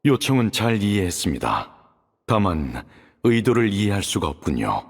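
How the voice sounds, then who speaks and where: A man voicing a calm, dignified male judge in a video game, speaking in a polite, grave, formal way. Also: fairly low pitch, moderate pace, husky, exaggerated voice. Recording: reverberant game audio with music.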